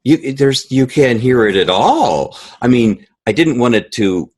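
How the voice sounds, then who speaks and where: A man's voice: mid-range voice, expressive, measured pace, slightly rough. A man speaking as a guest composer and sound editor in a conversational podcast interview, animatedly acting out quoted reactions.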